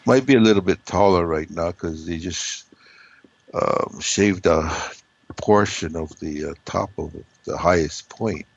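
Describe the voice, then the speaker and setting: A man with a hoarse, froggy voice, chatting loosely as a regular guest and rambling with many ums and uhs. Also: sits low, a little slow, no echo, dull audio. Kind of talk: casual conversation.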